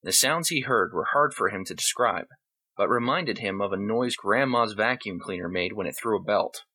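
A man's voice: on the low side, animated, normal speed, sharp tone, husky. A man reading a short story aloud as scripted narration.

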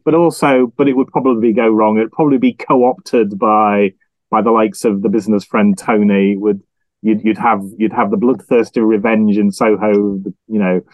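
A man giving thoughtful, casual analysis as a podcast panelist, halting and repeating words as he thinks. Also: lowish voice, fairly even intonation, darkish tone, dry recording, rough recording.

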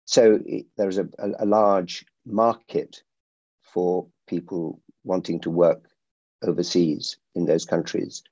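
A middle-aged man who grew up in India, a security company founder answering interview questions in a measured way with frequent restarts. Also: sits low, flat delivery, dark tone, no room sound, lo-fi sound.

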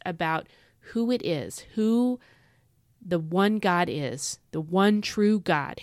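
A woman's voice: on the low side, no echo, studio quality. Stuffy-nosed woman hosting a devotional podcast. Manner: earnest and emphatic, repeats phrases for stress; chatty with fillers and asides. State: stuffy from a head cold. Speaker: podcast host.

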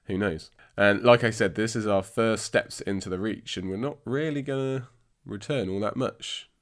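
A man's voice: on the low side, normal speed. A man from England hosting a book podcast in a chatty, self-deprecating way, his voice congested and his throat scratchy from a cold. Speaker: podcast host. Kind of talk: casual book podcast monologue.

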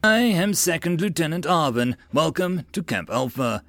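A man's voice: a little high, animated, normal speed, a bit bright, no room sound, pitched above the performer's own voice. A man voicing a bullying, sarcastic military drill instructor in an audiobook, barking and sneering theatrical insults. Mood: commanding.

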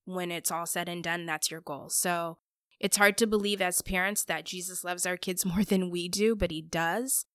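A woman's voice: on the low side, steady tone, moderate pace, a bit bright, dry recording, clean studio sound. A middle-aged woman speaking candidly and chattily, answering questions in a casual interview. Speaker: guest, parent.

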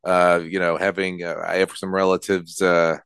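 Male podcast host, jokey and teasing, deep smooth voice, dry close sound. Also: level tone, normal speed, a bit bright, no echo. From Rhode Island. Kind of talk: casual interview conversation.

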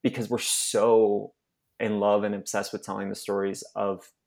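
A young adult man from Arizona, a nonprofit staff member, telling his personal story in an interview, halting and emotional with many restarts. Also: on the low side, level tone, gravelly, a little mellow.

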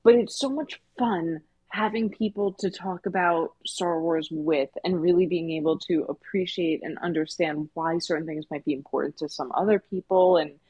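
Female podcast co-host, earnest and chatty, slightly low voice, dry close recording. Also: steady tone, normal speed, hardly any pauses, warm tone, husky, dry recording, dull audio. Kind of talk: casual podcast review discussion.

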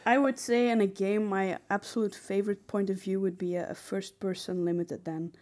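A woman's voice: mid-range voice, steady tone, moderate pace, a little mellow. A woman co-hosting a casual podcast, talking thoughtfully with some hesitant phrasing. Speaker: podcast co-host.

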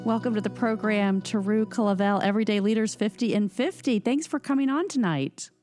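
A woman hosting an interview podcast, welcoming her guest effusively and asking long, slightly halting questions. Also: mid-range voice, over music. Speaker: podcast host.

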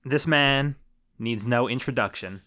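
Guy in his 30s cohosting a movie podcast, bright crisp voice, jokey, swears a lot. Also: medium pitch, unhurried, no room sound, studio quality. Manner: joking, swears freely. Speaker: podcast co-host. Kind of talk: casual movie podcast chat.

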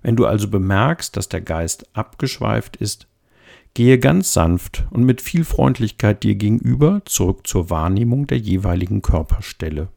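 Man, meditation guide, gentle, slow, low voice, gravelly. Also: lively intonation.

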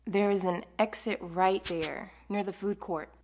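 A mid-pitched female voice, an amateur actor's, as the practical leader of a group of zombie survivors. She gives directions in a matter-of-fact, level-headed way, in audio drama style. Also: fairly even intonation, measured pace, darkish tone, slight background noise, lightly acted. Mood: taking charge.

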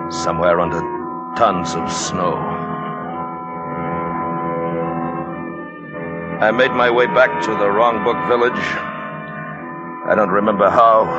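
A haunted mountaineer recounts his terror in an old radio drama. His voice is very low and very slow, halting and full of suspense, with prominent music behind it. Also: dull audio. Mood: numb, haunted. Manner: halting, suspenseful, repeating words. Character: haunted male mountaineer recounting a terrifying monster encounter. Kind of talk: 1950s radio drama acting, first-person narration.

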